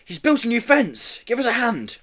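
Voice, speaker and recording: A man voicing an anxious, bossy, excitable young boy in a storybook, speaking urgently. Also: very high-pitched, normal speed, voice pushed up, full character voice. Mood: excited. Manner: urgent, exclamatory.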